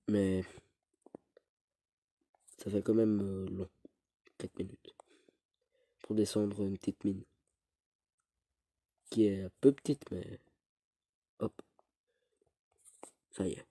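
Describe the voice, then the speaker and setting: A teenage boy with a cold, rambling through casual gameplay commentary with halting restarts. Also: lowish voice, steady tone, dark tone, no echo. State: stuffy with a cold. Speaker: gaming youtuber.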